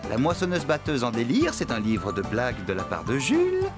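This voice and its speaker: A man's voice: mid-range voice, wildly swooping pitch, brisk, music in the background. A man voicing an easygoing, untidy farmhand in a children's cartoon. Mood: cheerful, festive. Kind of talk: French children's cartoon dubbing.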